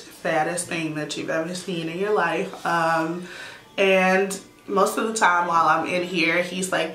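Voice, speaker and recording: Chatty woman in her thirties, roomy sound. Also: fairly low pitch. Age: 30s. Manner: chatty, relaxed, frequent "um" and "like". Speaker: YouTuber, teacher. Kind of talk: casual Q&A vlog. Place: indoor room at home.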